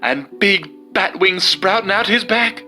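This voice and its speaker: Man as frightened village midwife, storybook character, raised pitch, swooping, urgent, old-fashioned speech. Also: expressive, measured pace, sharp tone, soft background music, exaggerated voice. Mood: trembling horror. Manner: stammering, breathless alarm in old-fashioned speech.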